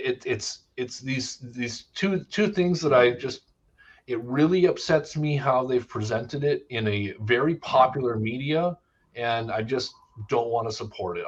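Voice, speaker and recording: A man's voice: mid-range voice, moderate pace. A man in a casual panel debate, speaking bluntly and emphatically with some swearing. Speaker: discussion panelist. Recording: slightly dull lo-fi audio.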